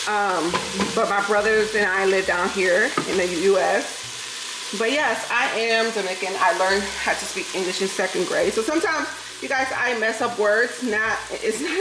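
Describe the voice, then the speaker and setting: A woman's voice: medium pitch, normal speed, roomy sound, noisy background. A woman in her thirties from New York City, a native Spanish speaker with a New York accent touched by the South, chatting casually with viewers while she cooks in her home kitchen. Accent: New York with a Southern lilt. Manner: chatty, laughs often, talks to viewers as "y'all". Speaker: vlogger. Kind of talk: casual chat while cooking. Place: home kitchen with food frying.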